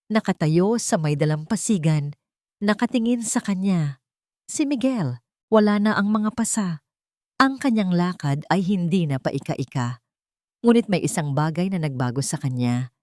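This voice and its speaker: Female storyteller, lower register, dry close sound, scripted dramatic romance narration. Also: a little mellow, no echo.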